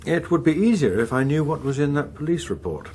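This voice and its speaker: An actor playing a suave veteran British politician and father, defensive and probing, with a polished, measured delivery in a radio drama. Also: on the high side, big pitch swings, a little mellow. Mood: uneasy, probing. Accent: British English.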